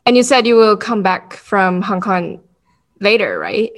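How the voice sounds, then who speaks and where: A woman's voice: mid-range voice, big pitch swings, measured pace. A woman co-hosting a podcast, talking casually with many likes and ums. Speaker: podcast co-host.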